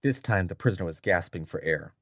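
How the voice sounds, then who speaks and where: A man narrating a dramatic story in a measured, deliberate, scripted audiobook style. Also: sits low, lively intonation, fairly bright tone, no echo, clean studio sound.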